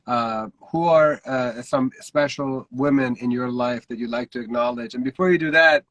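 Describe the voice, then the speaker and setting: Male host, upbeat and welcoming, mid pitch, dry close sound. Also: moderate pace, a bit bright, no room sound. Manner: upbeat, thanks guests, hands over turns. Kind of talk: casual livestream hosting.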